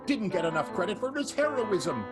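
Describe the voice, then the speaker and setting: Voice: mid-range voice, very animated, normal speed, loud music behind the voice. An announcer introducing characters of an improvised radio play with wry jokes. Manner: wry, jokey.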